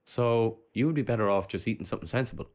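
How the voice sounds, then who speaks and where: A man co-hosting a casual podcast chat, wry and joking, with quick comebacks and free swearing. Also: fairly low pitch. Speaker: podcast co-host.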